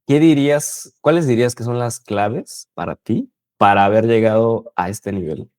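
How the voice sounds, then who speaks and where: A man's voice: medium pitch, moderate pace, a little mellow, dry recording, clean studio sound. A man hosting an interview, asking curious, probing questions in a casual style. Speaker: interviewer, host.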